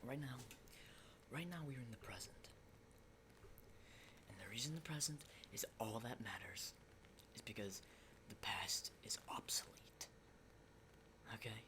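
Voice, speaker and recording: A man playing a jittery, hardened zombie apocalypse survivor in a whispered ASMR roleplay, halting and repeating himself insistently in a breathy voice. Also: fairly low pitch, expressive. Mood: intense, reassuring. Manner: halting, repetitive, insistent, often checking with "okay?". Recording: clearly noisy close whisper recording.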